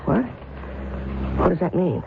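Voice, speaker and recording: A man's voice: sits high, expressive, unhurried, vocal fry, full character voice. An actor playing a frightened, agitated man who stammers and pleads in an old-time radio drama. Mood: flustered, alarmed. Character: frightened, frantic man convinced he is cursed. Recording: noisy, tinny old radio broadcast.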